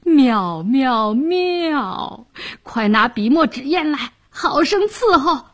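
Woman putting on a leering drunken nobleman, slow and swooping. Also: medium pitch, very animated, breathy edge, heavily acted. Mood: excited delight. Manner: leering, slurred, drawn-out wheedling. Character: lecherous, drunken, self-important nobleman villain.

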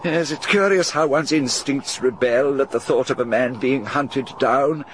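An actor playing a genial older gentleman with a fussy, chuckling manner in a radio drama. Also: medium pitch, unhurried, slight background noise, lightly acted. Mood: chatty. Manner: chuckling, fussy, hesitant repeats. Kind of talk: 1930s-style BBC radio drama.

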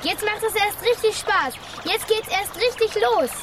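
A plucky six-year-old girl in a children's radio play. She sounds very high, eager and bossy, over faint background noise. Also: a little slow. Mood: excited.

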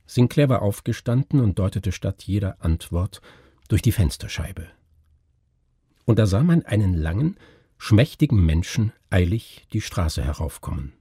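A man narrates a literary tale in a very husky, low voice. His delivery is suspenseful and moves widely in pitch, captured in a dry, close sound. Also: very animated, unhurried, dark tone, no echo. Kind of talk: dramatic literary reading.

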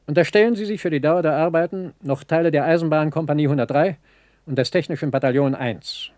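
Actor as a curt general, slightly high voice. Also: male voice, a little mellow, no echo, lightly acted. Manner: authoritative, curt, military. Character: brisk, commanding German lieutenant general giving orders. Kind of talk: German radio docudrama acting.

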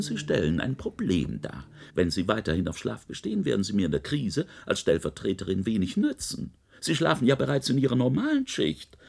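Radio play: pompous, excitable superior, lecturing, hugely varied intonation, gravelly creak. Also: male voice, medium pitch, full character voice. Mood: exasperated, scolding. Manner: theatrical, lecturing, emphatic. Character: pompous, excitable male superior courting his deputy. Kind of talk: German radio play acting.

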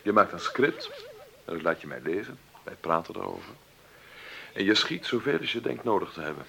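Gravelly, low-voiced wry older film mogul. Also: male voice, very animated, fairly quick, sharp tone, exaggerated voice. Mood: firm, generous. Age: 50s. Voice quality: gravelly, husky. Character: wealthy famous film-maker of 56, wry, commanding, secretly generous. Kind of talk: Dutch radio play acting.

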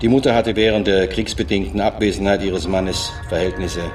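An actor playing a stern judge in a radio play, formally reading out a criminal verdict. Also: male voice, deep voice, fairly even intonation, distant mic, faint music behind, natural voice. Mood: matter-of-fact, formal. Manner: formal, official, measured. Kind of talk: formal court verdict read aloud.

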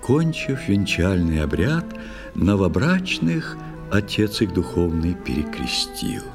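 A man with a lowish, husky voice tells a verse tale as a narrator. His delivery is solemn and theatrical, with wide melodic swings and measured phrasing, over music. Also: big pitch swings. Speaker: narrator in an audio play. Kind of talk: dramatic verse storytelling for children. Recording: music under the voice.

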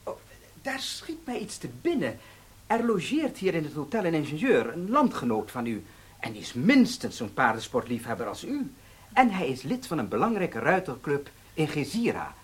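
An actor playing an obliging, eager hotel host in a radio drama, effusively polite and servile. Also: male voice, on the high side, wildly swooping pitch, normal speed, slightly rough, exaggerated voice.

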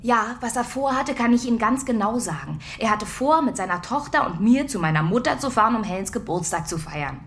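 A woman's voice: on the high side, animated, a little fast, few pauses, a bit bright. An actress playing a worried middle-aged wife and mother who waits for her husband and argues on the phone, in a radio play. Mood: frustrated. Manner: worried, insistent.

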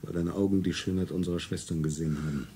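An actor playing a grave, merciless rebel judge, intoning accusations solemnly in a radio play. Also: male voice, low-pitched, fairly even intonation, fast talker, dark tone. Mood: cold, solemn. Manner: accusatory, incantatory repetition. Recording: faint noise and ambience behind.

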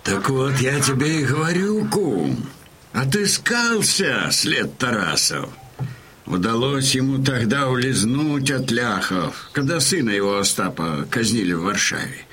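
An old Cossack in an audio drama, husky and slow of speech. He passes on war news to his crony with folksy emphasis, taking his time over each phrase. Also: medium pitch, sing-song, fairly bright tone, full character voice. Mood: confiding, gossipy. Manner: folksy, emphatic, unhurried storytelling. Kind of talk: Russian audio drama acting.

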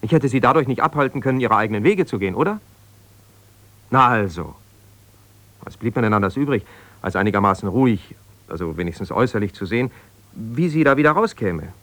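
Hesitant, defensive, wounded husband. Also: male voice, on the low side, lively intonation, fairly quick, pauses often, fairly bright tone, no room sound. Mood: indignant, defensive. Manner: hesitant, searching, self-justifying, conversational. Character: defensive, wounded husband pouring out his troubles to a confidante. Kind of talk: naturalistic 1970s German radio drama monologue.

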